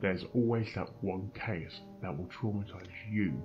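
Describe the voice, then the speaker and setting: A man's voice: low voice, a little slow, dull audio. A man playing a haunted, weary pony doctor and investigator in an amateur audio drama, grave and dramatic. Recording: prominent guitar music behind voice.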